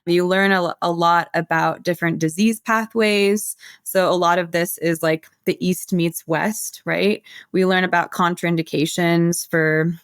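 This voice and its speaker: Low-voiced woman herbalist explaining. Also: fairly even intonation, measured pace, hardly any pauses, no room sound. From United States. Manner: earnest, flowing explanation with many "like" fillers. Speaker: herbalist guest. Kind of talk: casual podcast interview.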